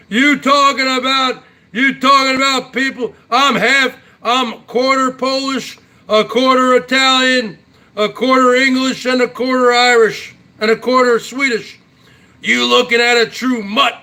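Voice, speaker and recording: Brash high-voiced man in his forties. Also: steady tone, measured pace, sharp tone. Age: 40s. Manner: brash and boastful, keeps tagging "huh?". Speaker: YouTube show host. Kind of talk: casual solo chat to viewers.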